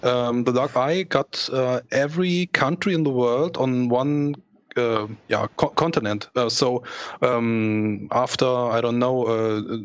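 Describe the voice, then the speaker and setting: A man with a German accent, a guest in a casual chat, halting and searching for words. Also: mid-range voice, clean tone, no echo. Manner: halting, many ums and uhs, searching for words. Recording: dull lo-fi dry mic.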